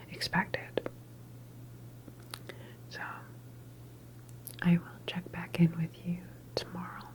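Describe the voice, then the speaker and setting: A woman's voice: fairly low pitch, natural voice, rough recording. Whispering, reassuring female doctor, acted by a woman. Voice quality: soft, breathy whisper. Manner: gentle, reassuring, hesitant phrasing. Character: calm, reassuring female doctor at a government facility. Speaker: ASMR roleplay performer. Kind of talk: whispered ASMR medical roleplay.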